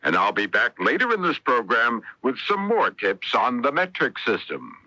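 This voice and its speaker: Male announcer, lively and sharp, explanatory read, dry old radio sound. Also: mid-range voice, normal speed, no room sound, low-quality recording. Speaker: public service announcer. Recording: dry 1970s radio broadcast.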